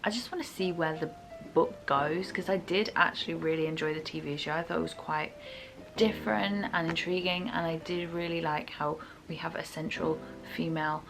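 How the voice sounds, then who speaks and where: Young woman, low creaky voice. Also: fairly even intonation, moderate pace, music in the background. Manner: chatty, informal, hedging her opinions. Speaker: book reviewer. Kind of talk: casual chat to viewers about books.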